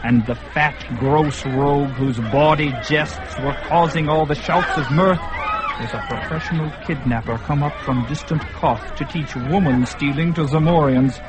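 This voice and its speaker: A man's voice: mid-range voice, normal speed, a loud crowd, very noisy. A man narrating a radio drama in a vivid, dramatic way. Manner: vivid, building intensity.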